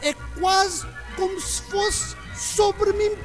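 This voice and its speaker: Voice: extremely high pitch, steady tone, extremely slow, exaggerated voice. A student actor playing a flustered official who stammers constantly, in a radio drama with music behind. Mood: anxious.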